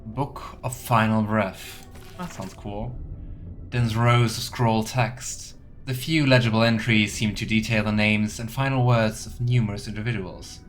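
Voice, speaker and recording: Let's play host: low male voice, gaming commentary and read-aloud game text, faint music underneath. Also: fairly even intonation, unhurried. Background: soft game music.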